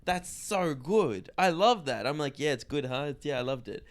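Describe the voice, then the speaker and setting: A man's voice: fairly high pitch, animated, moderate pace. A man co-hosting a comedy podcast, chatting casually and agreeably in loose slang. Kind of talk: casual chat.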